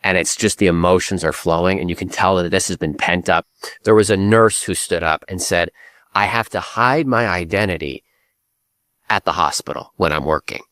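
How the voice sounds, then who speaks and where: A Canadian man answering interview questions in a grave, measured way, slowing down to stress words. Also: low voice, fairly bright tone, no room sound. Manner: grave, measured, slows for emphasis. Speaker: guest writer.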